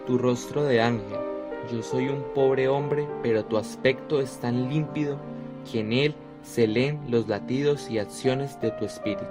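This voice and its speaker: Radio play: young devout disciple, quiet reverent tone, mid pitch, music under, played by a man. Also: unhurried, close to an everyday voice. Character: Stephen, humble devout young male disciple. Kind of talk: radio drama acting.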